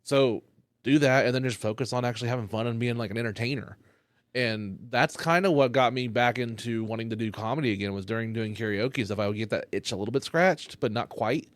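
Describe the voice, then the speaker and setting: A man, a stand-up comedian, chatting loosely on a podcast while high, rambling and cursing often. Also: fairly quick, no room sound, clean studio sound. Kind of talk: casual podcast chat.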